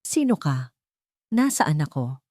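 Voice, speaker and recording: A woman voicing a frightened, distrustful young woman with a hesitant, hushed manner in a narrated drama. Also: low-pitched, sing-song, slow pace, no room sound, clean studio sound, heavily acted. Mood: fearful.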